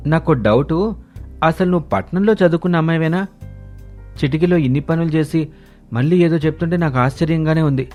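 A man playing a surprised, mildly teasing young village-born husband and son in an animated story. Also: on the high side, fairly quick, long pauses, pitched above the performer's own voice, close to an everyday voice. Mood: amazed. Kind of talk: animated moral-story voice acting. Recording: music bed under the voice.

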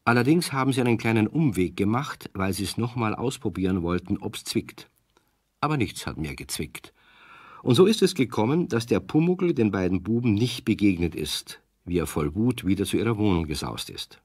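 A man tells a children's story in a lowish voice that moves up and down as he goes. The delivery has an easy storytelling cadence. The sound is dry and close. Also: expressive, moderate pace, a little mellow, slightly rough, no room sound. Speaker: narrator.

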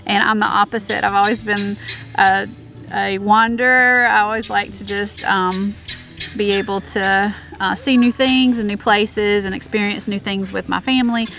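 A woman's voice: mid-range voice, steady tone, moderate pace, vocal fry, a bit bright, faint music behind. A woman in her thirties talking casually outdoors on her homestead, with many fillers and restarts. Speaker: homestead vlogger. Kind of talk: casual chat.

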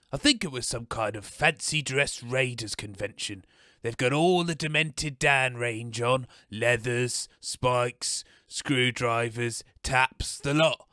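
A man acting gloomy wasteland radio host, forceful bright tone, deadpan grumbling, comic monologue, dry recording. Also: medium pitch, no room sound. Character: miserable male wasteland radio host, grumbling and hard-done-by. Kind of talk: scripted comic radio monologue introducing songs.

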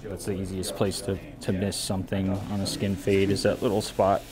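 A man's voice: deep voice, completely flat delivery, normal speed, a crowd in the background. An American man, a barber, explains his work casually and in an easygoing way as he goes. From United States. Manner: easygoing, explanatory, thinking aloud. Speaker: barber giving a haircut tutorial. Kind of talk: casual instructional talk while working. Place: indoor brick-walled barber shop. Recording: clear electric clipper buzz and hum. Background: electric clippers buzzing, hum.

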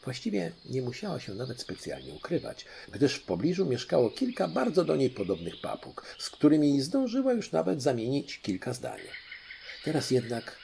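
A man narrating a children's audiobook in an expressive storytelling style. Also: medium pitch, normal speed, vocal fry, slight background noise.

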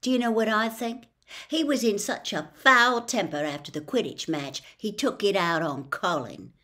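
A woman's voice: mid-range voice, normal speed, sharp tone, pitched above the performer's own voice, close to an everyday voice. An older woman voicing a blunt, hot-tempered schoolboy with an emphatic, grumbling delivery in a storybook reading. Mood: snarling.

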